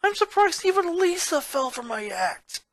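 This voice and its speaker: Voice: extremely high pitch, big pitch swings, normal speed, a bit bright, no echo, pitched above the performer's own voice, heavily acted. A narrator voicing a frustrated, sulky preteen boy in a story reading, exasperated and indignant. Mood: angry ranting. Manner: exasperated ranting and complaining.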